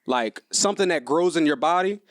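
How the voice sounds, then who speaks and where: Musing man with a lively voice. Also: a little high, a little fast, no echo, clean studio sound. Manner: musing, teasing. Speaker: podcast co-host. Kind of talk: casual chat.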